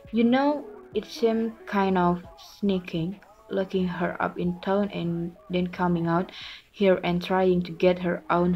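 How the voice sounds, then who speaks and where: A young woman plays a wistful farm wife who speaks up loyally for a neighbour. The lines come very slowly and hesitantly, as in amateur drama. The recording is muffled, with music behind. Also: lowish voice, close to an everyday voice. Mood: uneasy. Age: young adult. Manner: halting, hesitant delivery. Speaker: student actor in a class play. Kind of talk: amateur stage drama dialogue. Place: small curtained room at home. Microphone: phone or laptop at arm's length.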